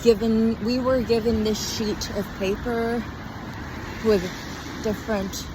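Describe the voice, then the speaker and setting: Young woman, tired, slow-paced, casual podcast monologue, trailing off, loud-ish car and street noise outdoors. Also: a little high, steady tone. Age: young adult. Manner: halting, trailing off mid-thought. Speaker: podcast host. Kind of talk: casual rambling diary-style monologue. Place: outdoors on a walk in a town. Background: traffic and urban ambience.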